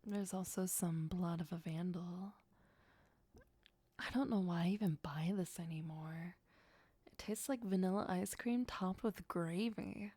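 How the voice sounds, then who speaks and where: A possessive vampire girlfriend, acted by a woman, murmurs in an intimate ASMR roleplay. Her voice is breathy and soft, mostly whispered, and she takes her time. She sounds playful and doting, with a dark devotion, recorded very close and dry. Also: on the low side, unhurried, no room sound. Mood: playful, wry.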